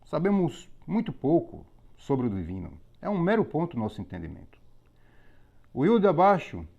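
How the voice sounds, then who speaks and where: A man teaching a religious lesson on Hebrew letters, explaining methodically with pauses and repetition. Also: fairly high pitch, huge pitch swings, gravelly, a little mellow. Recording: slightly dull lo-fi recording.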